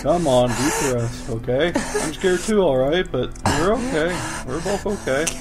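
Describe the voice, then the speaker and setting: Man acting high-voiced protective young skeleton brother. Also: animated, measured pace. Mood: soothing. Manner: urgent, emphatic, informal. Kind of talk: comic dub dramatic acting.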